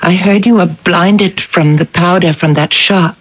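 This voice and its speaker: A young shop girl in a radio drama. She sounds anxious and earnest, with a slightly rough, breathy voice and hesitant, pleading delivery. The recording is muffled and lo-fi. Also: on the low side, measured pace. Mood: concerned. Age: young adult. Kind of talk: 1980s radio drama acting.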